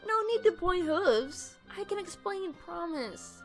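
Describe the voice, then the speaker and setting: A woman playing an anxious, easily embarrassed young filly in an animated pony drama. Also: very high voice, unhurried, music in the background, heavily acted. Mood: sheepish, pleading.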